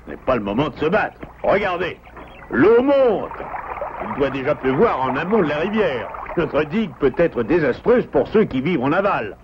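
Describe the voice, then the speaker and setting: An animated, earnest father beaver. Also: on the high side, moderate pace. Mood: urgent, earnest. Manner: earnest, polite, reasoning. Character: wise, courteous, steadfast father beaver. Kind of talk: French-dubbed cartoon acting. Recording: muffled, clearly noisy soundtrack.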